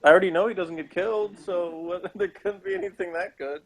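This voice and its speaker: A man's voice: high-pitched, fairly quick. A man chatting casually as co-host of a TV review show, with a sarcastic, mocking way of putting things down. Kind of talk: casual chat reviewing a sci-fi TV episode.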